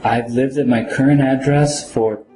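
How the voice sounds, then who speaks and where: A man playing a citizenship applicant in a scripted mock interview, answering slowly and carefully. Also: medium pitch, fairly even intonation, warm tone, soft background music. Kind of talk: scripted role-play. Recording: echoey room.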